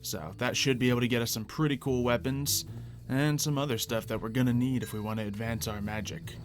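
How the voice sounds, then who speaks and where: Man narrating gameplay over clear music. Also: mid-range voice, steady tone, fairly quick. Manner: thinks out loud, explanatory, conversational. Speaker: gaming video host. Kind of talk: casual gameplay commentary. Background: background music.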